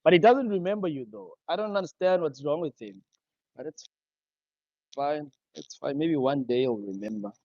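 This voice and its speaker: A man hosting a live online music show, upbeat, giving shout-outs and introducing the next songs. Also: on the high side, animated, moderate pace, dry recording, muffled recording. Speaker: show host.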